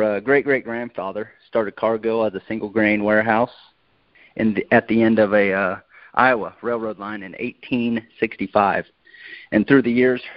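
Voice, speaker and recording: A man's voice: lowish voice, no room sound. A man hosting a podcast interview in a casual way, recorded over a remote call. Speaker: podcast host. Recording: dull, lo-fi call audio.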